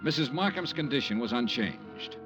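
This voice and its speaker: A man's voice: medium pitch, animated, normal speed, over music, lightly acted. An American man playing a tough, wry insurance investigator in a 1950s radio detective drama, speaking in a clipped, dry, commanding way. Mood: matter-of-fact narration. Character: tough, wry male insurance investigator, brisk and hard-nosed. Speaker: lead actor and narrator.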